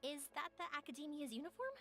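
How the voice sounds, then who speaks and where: Earnest, shy young fantasy-game girl, high and animated. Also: quick pace, background noise. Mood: curious, flustered. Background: game music.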